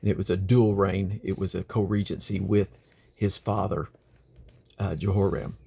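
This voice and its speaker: A man reading the Bible aloud in a deliberate, expressive way. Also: deep voice, creaky, a little hiss or hum. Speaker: scripture reader.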